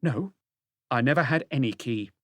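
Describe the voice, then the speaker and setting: Audiobook mystery role: sullen, guarded woman in middle age, read by a man, lifted pitch, curt and evasive. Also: expressive, normal speed, dry recording, natural voice. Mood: stubborn, sullen. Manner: curt, evasive, defensive answers. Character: stubborn, guarded middle-aged working woman under questioning.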